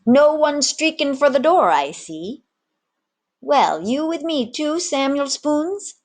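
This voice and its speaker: A woman voicing a scheming, bossy male pirate with one arm, talking in rough sailor slang for a storybook. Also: high-pitched, very animated, slow, voice pushed up, heavily acted. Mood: taunting, grinning.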